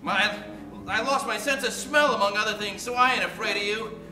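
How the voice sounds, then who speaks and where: On an echoey stage, a man plays a fearless, blustery old soldier in a radio farce. The voice is high and very sharp. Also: brisk. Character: gung-ho battered war veteran, blustery and fearless. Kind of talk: comic old-time radio play acting. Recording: echoey stage, faint music.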